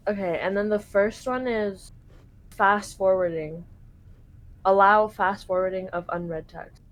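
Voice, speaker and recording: A woman's voice: mid-range voice, steady tone, unhurried, low-quality recording. A young woman from Canada, talking casually and swearing freely as she translates game text aloud for a friend over a call. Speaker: live translator for a friend's playthrough.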